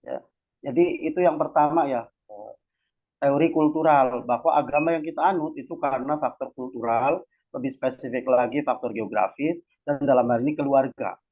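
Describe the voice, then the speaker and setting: Tinny, phone-like man explaining casually. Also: medium pitch. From Cilacap, Central Java, Indonesia. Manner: conversational, repeatedly adds checking tags while explaining. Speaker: academic discussion host and speaker. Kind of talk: informal explanatory lecture with casual slang. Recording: tinny phone-like online audio.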